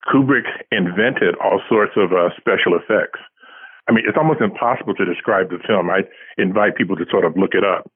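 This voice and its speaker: A husky, low-voiced man around seventy speaks as an interview guest over a thin phone line. He answers casually, in a modest and reflective way. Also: no room sound. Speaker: guest, science fiction writer. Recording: tinny, band-limited phone line.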